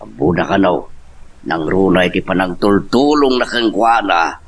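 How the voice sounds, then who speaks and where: A man's voice: deep voice, normal speed. A man playing an earnest older father in an amateur family love drama, with an Ilocano accent. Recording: distant from the microphone.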